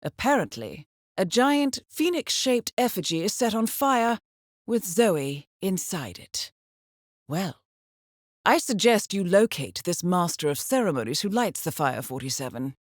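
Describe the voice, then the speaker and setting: An actress playing a poised, dry-witted intelligence handler in a game, with a composed, clipped, wryly understated delivery. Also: female voice, lowish voice, sing-song, measured pace, no echo. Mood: wry, intrigued. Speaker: mission handler. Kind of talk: scripted game briefing dialogue.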